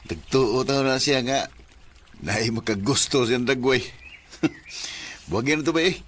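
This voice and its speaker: A man's voice: mid-range voice, expressive, takes it slowly, pauses often, fairly bright tone, a little hiss or hum. A young male buddy in a Bisaya radio drama, blunt and full of teasing. His voice sits in a middle register. He speaks with a Filipino Bisaya accent.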